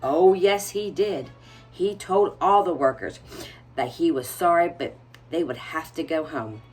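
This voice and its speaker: Lady doing an old grandpa telling a mysterious storybook tale, dramatic, bit low, faint music behind. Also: measured pace, slightly rough. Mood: solemn, dramatic. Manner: dramatic, suspenseful storytelling with hushed moments.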